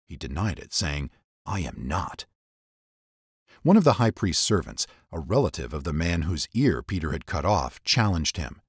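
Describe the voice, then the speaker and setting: A man narrating scripture as a dramatic scripted reading, in a measured storytelling manner with phrases set apart. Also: extremely low pitch, big pitch swings, husky, dry recording, clean studio sound.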